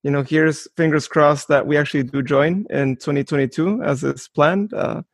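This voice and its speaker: Man, creaky voice, dry close sound, casual sports talk, interview host. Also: fairly high pitch, moderate pace, no room sound.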